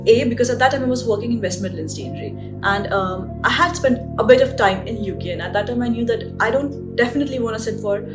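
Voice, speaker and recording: A woman's voice: a little high, fast, hardly any pauses. A young woman doctor with an Indian accent, giving chatty advice in a vlog with lots of fillers and "right?" tag questions. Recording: voice a bit distant from the mic, guitar music behind.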